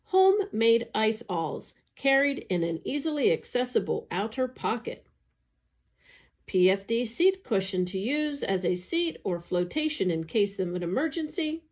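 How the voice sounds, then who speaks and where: A woman's voice: a little high, very animated, measured pace. A woman reading a scripted educational article aloud for children, in a careful, instructional way. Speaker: educational narrator, agency staff reader.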